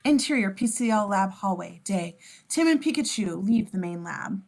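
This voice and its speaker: A woman's voice: medium pitch, animated, normal speed, creaky, darkish tone. A woman narrating as scene description reader, reading screenplay stage directions aloud at a table read.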